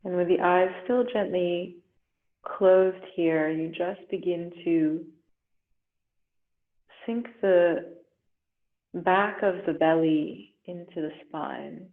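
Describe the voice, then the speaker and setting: A woman with a slightly low voice guides a relaxation meditation very slowly and gently, leaving long pauses. Her recording is roomy and echoing, with a thin, muffled, phone-like sound. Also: steady tone. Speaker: yoga instructor.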